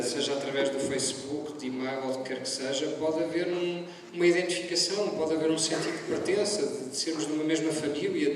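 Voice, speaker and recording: A man on a panel, speaking reflectively and directly to an audience of students. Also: fairly high pitch. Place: school hall. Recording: echoey hall from far off, noisy.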